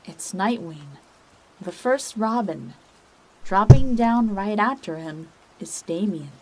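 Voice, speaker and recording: A woman's voice: medium pitch, animated, slow. Woman narrating drama, faint hum. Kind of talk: scripted story narration.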